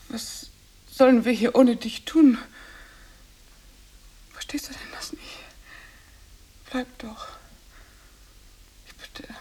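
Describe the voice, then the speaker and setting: A woman's voice: a little high, dark tone, breathy edge, poor audio. An actress in a 1970s radio play voicing an overbearing married hostess who clings insistently and emotionally to her guest. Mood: hushed pleading.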